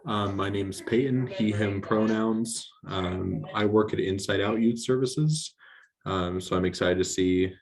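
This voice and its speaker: A man introducing himself to an online workshop, hesitant and full of ums, with a gravelly voice. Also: on the low side, measured pace. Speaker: workshop participant. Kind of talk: casual self-introduction.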